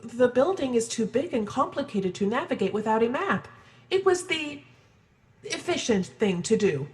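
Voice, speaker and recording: A woman's voice: fairly high pitch, big pitch swings, moderate pace. A woman playing an efficient, matter-of-fact talking spaceship with a businesslike manner in a comic sci-fi audio drama.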